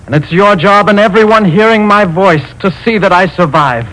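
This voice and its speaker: An actor playing Goodwill, an earnest, stern and kindly spirit who lectures a couple, in a 1940s radio play. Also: male voice, high voice, normal speed, fairly bright tone, poor audio. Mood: stern preaching. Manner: earnest, sermonizing, commanding. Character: Goodwill, a wise preachy man, stern and kindly.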